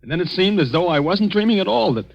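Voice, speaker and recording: An actor playing an anxious, down-on-his-luck young American man in a 1940s radio drama, halting and agitated. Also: sits high, animated, gravelly, exaggerated voice. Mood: frightened, puzzled. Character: shaken, anxious unemployed young man after a drugged night. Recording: dull, muffled old radio recording.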